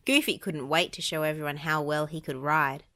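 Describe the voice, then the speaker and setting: A woman reading a children's storybook aloud as an expressive narrator. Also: deep voice, moderate pace. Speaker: storybook reader.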